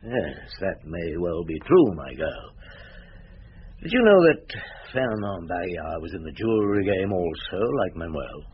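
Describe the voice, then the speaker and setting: A muffled radio drama recording with slight noise carries a middle-aged police inspector. He is shrewd and unhurried, needling people dryly in a low voice. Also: very animated.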